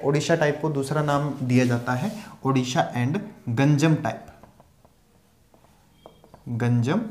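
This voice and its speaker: A young man teaching a zoology class at a whiteboard, explaining slowly and repeating key terms as he writes. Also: medium pitch, a little mellow. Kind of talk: whiteboard lecture mixing Hindi and English. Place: small room with a whiteboard. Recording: echoey room.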